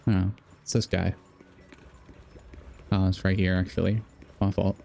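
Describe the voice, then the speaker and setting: A man's voice: low-pitched, level tone, measured pace, gravelly, warm tone, slight background noise. A man live streaming a video game, chatting casually with viewers and thinking aloud in a rambling, repetitive way.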